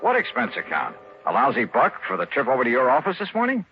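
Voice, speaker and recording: A man's voice: mid-range voice, wildly swooping pitch, quick pace, soft background music. An actor playing a wisecracking insurance investigator in a 1950s radio drama, narrating his case with laconic, sarcastic quips. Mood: wry. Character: wisecracking male insurance investigator, dry and sardonic. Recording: muffled old radio broadcast recording.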